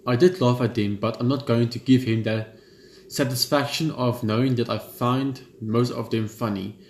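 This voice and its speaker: A man at a middle pitch reads a story game's narration aloud and breaks off into casual chat. He stumbles and restarts words and swears now and then. The room sounds roomy, with a faint hum underneath. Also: steady tone, normal speed. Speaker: gaming channel host. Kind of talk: reading a visual novel aloud with commentary.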